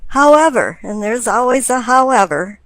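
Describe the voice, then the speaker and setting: A woman with a fairly high voice reads tarot for her listener. She explains things deliberately, setting out one phrase after another. The recording is dry and close, with little room sound. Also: animated, fairly bright tone, dry recording, low-quality recording. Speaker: tarot reader. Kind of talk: spoken tarot card reading.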